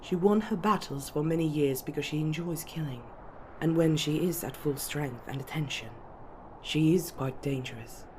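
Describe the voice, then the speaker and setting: A woman playing a composed, strategic elven noblewoman, speaking in formal, measured counsel for an ASMR fantasy roleplay. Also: low voice, warm tone, a little hiss or hum, lightly acted, poor audio. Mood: grave, assured.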